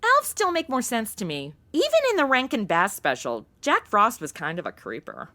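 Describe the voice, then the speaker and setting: A woman's voice: mid-range voice, wildly swooping pitch, moderate pace, pushed and bright. A young woman playing an irreverent, chatty modern sidekick with a sassy, casual manner in a comedic audio drama. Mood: playful. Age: young adult.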